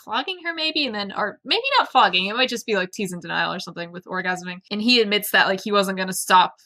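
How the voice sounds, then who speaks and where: A woman's voice: mid-range voice, quick pace, pushed and bright. A woman co-hosting a podcast in casual book discussion, with wry, mocking commentary. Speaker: podcast co-host.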